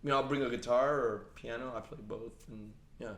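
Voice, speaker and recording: A man's voice: medium pitch, steady tone, a little fast. A young man appearing as a podcast guest, chatting in an easygoing, agreeable way. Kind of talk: casual podcast chat. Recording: echoey room.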